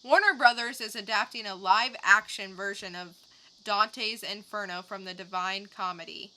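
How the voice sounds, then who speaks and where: A woman's voice: mid-range voice, normal speed, sharp tone, low-quality recording. A woman co-hosting a podcast, delivering short news items and remarks with dry, joking timing. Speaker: podcast co-host. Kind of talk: scripted joke news items and casual chat.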